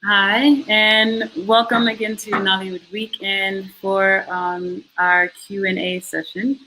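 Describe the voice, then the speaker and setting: A woman hosts a film festival Q&A over a video call. Her voice is bright and forward at an ordinary pitch. She asks her questions haltingly, with plenty of fillers and light laughter. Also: level tone, a little hiss or hum, poor audio. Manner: friendly, hesitant with many fillers and laughs. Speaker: festival Q&A host.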